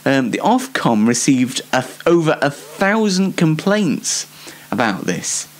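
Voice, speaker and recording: A man's voice: a little high, big pitch swings, unhurried. A young British man hosting a show on his own, giving a casual, rambling comedic monologue in a self-deprecating way. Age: young adult. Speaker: solo host of an online talk show.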